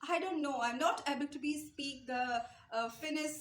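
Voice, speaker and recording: A woman's voice: high-pitched, normal speed, a bit bright, roomy sound, a little hiss or hum. A young Pakistani woman with a Pakistani accent gives an earnest English-speaking lesson straight to camera, repeating her points and gesturing with her hands. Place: small indoor room, light wall behind.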